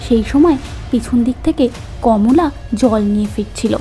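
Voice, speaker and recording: A woman tells a scripted cartoon tale. Her voice is high-pitched and very mellow. Music plays under the narration. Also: moderate pace.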